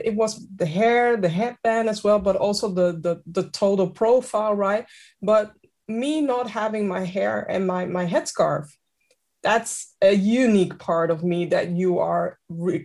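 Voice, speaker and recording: A woman's voice: medium pitch, unhurried, a little mellow. A woman speaking earnestly and with emphasis in a casual panel discussion, often restarting her sentences.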